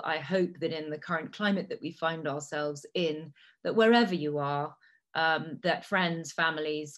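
A middle-aged woman raised in Italy, a native Italian speaker, giving a measured talk with frequent fillers. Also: low voice. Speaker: guest speaker. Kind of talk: prepared talk.

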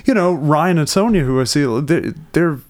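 A man's voice: on the high side, lively intonation, moderate pace. A man in his twenties talking casually as a musician guest, rambling and laid-back with lots of fillers. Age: 20s. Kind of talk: casual conversation.

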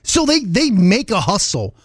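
A man co-hosting a radio show in casual, slangy, animated banter. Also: high-pitched, unhurried, no echo, clean studio sound. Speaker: radio co-host.

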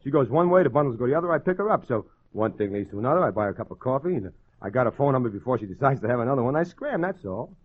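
Small-time gangster, 1940s radio crime drama. Husky, slangy, wisecracking ladies' man with lively intonation. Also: medium pitch, racing, few pauses. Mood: casual, lively. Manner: slangy, wisecracking tough-guy talk. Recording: muffled old radio broadcast.

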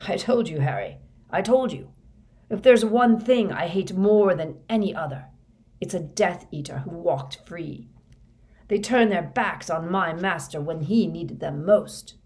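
Storybook villain: menacing older male wizard , played by a female narrator, insistent, fervent, unhurried delivery. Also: on the low side, expressive. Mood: fanatical fury. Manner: quiet insistent questioning rising to fervent intensity. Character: grim, menacing, fanatical older man, a wizard teacher.

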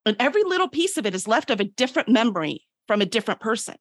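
A woman's voice: fairly high pitch, sing-song, very fast, no echo. A woman speaking as a guest author, chatting in a breezy, informal, conversational way. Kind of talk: casual chat, pitching own books.

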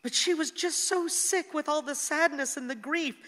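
A woman's voice: high voice, normal speed, fairly bright tone. An actress playing an anxious, well-meaning older mother in a radio play. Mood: pleading, upset. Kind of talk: stage-like radio play acting. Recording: reverberant hall-like room.

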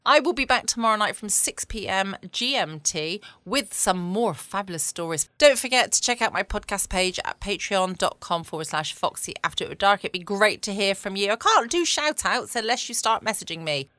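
A woman's voice: medium pitch, expressive, moderate pace, hardly any pauses, sharp tone, no echo. An English woman hosting a podcast from home, chatting casually to her listeners. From United Kingdom. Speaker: podcast host. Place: at home, dry close recording.

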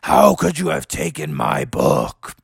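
Storybook villain: menacing mystery guardian of a treasure cave, theatrical and commanding, very slow, widely swooping delivery. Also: male voice, a bass voice, wildly swooping pitch, vocal fry, breathy edge, no room sound, studio quality, pitched below the performer's own voice, full character voice. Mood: outraged. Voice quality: scary, slow, drawn-out. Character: booming, menacing mystery voice guarding a skull-shaped cave's treasure.

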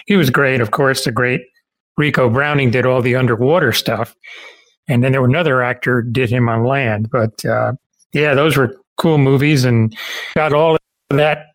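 A man's voice: medium pitch, moderate pace, poor audio. A chatty, enthusiastic middle-aged or older man interviewing a guest in a casual way.

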